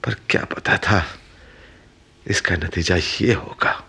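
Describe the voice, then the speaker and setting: A man's voice: low voice, slow, vocal fry, sharp tone, breathy edge, full character voice. An actor in a radio play voicing a concerned, philosophical man who soothes and explains while caring for an injured stranger. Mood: sorrowful. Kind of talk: Hindi radio play acting.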